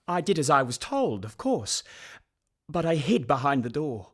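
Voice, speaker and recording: A man's voice: on the high side, big pitch swings, moderate pace, a bit bright, husky, heavily acted. An actor playing a reflective man narrating memories of his boyhood in a radio play, wistful and literary. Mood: anxious. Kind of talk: scripted first-person narration.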